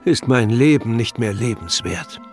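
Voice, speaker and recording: Man acting pompous festival boss in a radio play, aggrieved, declaiming, medium pitch. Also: expressive, unhurried, darkish tone, faint music behind, lightly acted. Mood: dismayed. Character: aggrieved, self-important male festival impresario.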